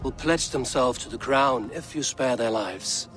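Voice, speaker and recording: Viking traveler voiced by a man, medium pitch, calm, measured, wry, kindly, game cutscene, faint music behind. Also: lightly acted. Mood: calm, persuasive. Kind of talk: video game cutscene acting.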